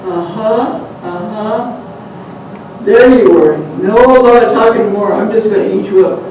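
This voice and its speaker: A man's voice: high-pitched, a little slow, darkish tone. A man doing a hungry, gullible coyote. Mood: threatening. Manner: blunt, threatening, whiny. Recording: distant, echoey, noisy live room.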